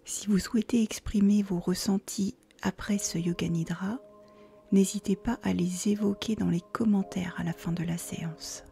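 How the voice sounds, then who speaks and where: A woman guiding a relaxation meditation in a soft, breathy near-whisper, calmly giving soothing instructions with pauses. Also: mid-range voice. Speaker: yoga nidra guide. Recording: faint music behind the voice.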